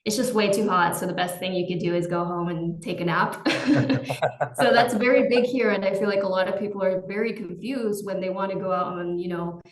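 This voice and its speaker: A woman's voice: mid-range voice, fairly even intonation, moderate pace, roomy sound. A young adult woman from Argentina, a podcast guest chatting casually and laughing easily. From Salta, Argentina. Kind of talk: casual interview chat. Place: at home in Salta.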